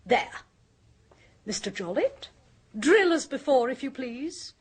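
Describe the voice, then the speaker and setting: An actress playing a bossy, impatient clairvoyant in an old radio play, imperious and theatrical in manner. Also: female voice, high-pitched, very animated, takes it slowly, slightly rough, full character voice. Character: bossy, grand, impatient female clairvoyant medium. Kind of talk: British radio comedy-mystery acting.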